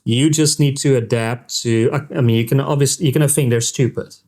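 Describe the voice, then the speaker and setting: A man hosts an interview in a relaxed back-and-forth. He often restarts his sentences, and his voice sits in a mid-range pitch. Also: brisk. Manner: conversational, with restarts and "I mean". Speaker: interview host. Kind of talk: casual interview conversation.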